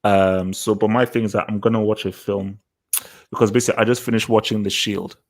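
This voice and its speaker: A man's voice: on the low side, fairly even intonation, a little fast, darkish tone. A man hosting a casual online football hangout, loose and repetitive, steering the others along. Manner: loose, repeats words, herds the others. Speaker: host. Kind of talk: casual livestream hangout chat.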